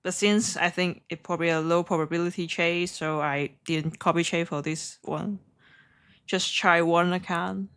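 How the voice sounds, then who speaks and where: A woman speaking as a live stream trading host, chatting casually in a giggly, hesitant, halting way. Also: on the low side, steady tone, fairly bright tone, smooth. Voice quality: non-native English.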